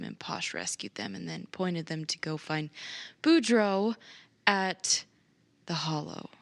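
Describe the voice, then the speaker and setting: A woman playing a tabletop game, explaining events in character in a halting, explanatory manner. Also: deep voice, dry recording.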